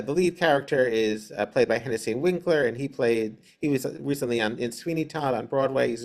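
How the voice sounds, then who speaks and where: Male interview guest, theater director type, average pitch, hesitant, pause-filled, explanatory. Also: creaky. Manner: hesitant, halting delivery with many pauses. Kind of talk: casual explanatory interview talk.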